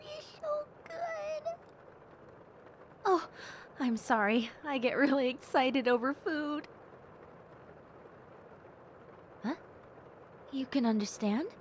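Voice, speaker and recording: Woman doing a shy sweet bat girl for audio rp, high voice, takes her time, gushy and polite. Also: big pitch swings, slow pace, long pauses, a little hiss or hum, full character voice. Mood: delighted, grateful. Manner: polite, gushing, hesitant, with soft exclamations. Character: sweet, timid, excitable young female bat-girl hybrid. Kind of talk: roleplay audio drama addressed to the listener.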